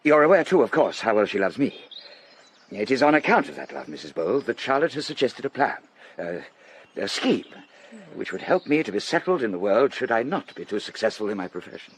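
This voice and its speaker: An actor playing a languid, feckless English gentleman in a period radio drama, hesitant and politely self-deprecating. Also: medium pitch, very animated, a little fast, husky. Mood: awkward, confiding.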